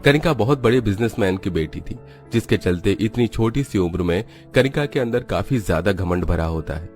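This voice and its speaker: A man's voice: fairly low pitch, lively intonation, normal speed. A man reading scripted narration for a children's moral story. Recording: music behind the voice.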